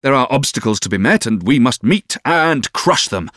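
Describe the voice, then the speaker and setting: A man voicing an earnest, ardent young Victorian gentleman in love, tender and exhorting, for an audiobook. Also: mid-range voice, big pitch swings, moderate pace, no echo, clean studio sound, pitched above the performer's own voice, natural voice. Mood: fervent. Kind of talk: audiobook character voice.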